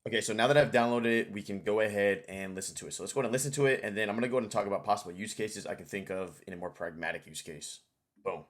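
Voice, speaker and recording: A man's voice: lowish voice, rapid-fire. A man hosting a casual screen-share tutorial, informal and thinking aloud as he goes. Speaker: tutorial host.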